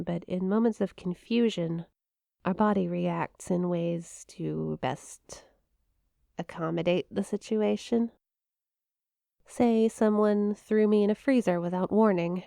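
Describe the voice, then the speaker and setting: A woman's voice: on the low side, fairly even intonation, unhurried, creaky, warm tone, no echo, studio quality. A woman playing a shy, playful young eldritch monster classmate in an intimate ASMR roleplay, teasing and hesitant, with a flustered stammer. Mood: casual, explaining. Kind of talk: ASMR roleplay, intimate one-sided conversation.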